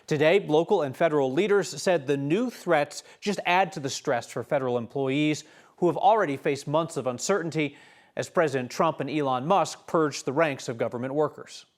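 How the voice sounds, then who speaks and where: A man's voice: a little high, normal speed. An American man anchoring a newscast with scripted news narration.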